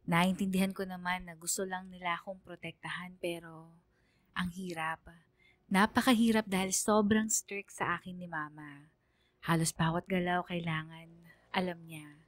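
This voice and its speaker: A woman's voice: on the low side, steady tone, normal speed, no echo, close to an everyday voice. A woman voicing an anxious young Filipino student narrating his story in a radio drama. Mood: anxious, reflective.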